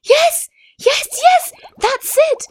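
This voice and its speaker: A woman voicing an eager, brave young male little fish who shouts in a very high, shrill voice. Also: extremely slow, heavily acted. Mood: overjoyed.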